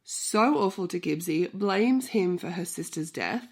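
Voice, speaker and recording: A woman's voice: lowish voice, lively intonation, unhurried, husky. A woman book vlogger with a cold, sounding nasal, giving a chatty check-in on her reading.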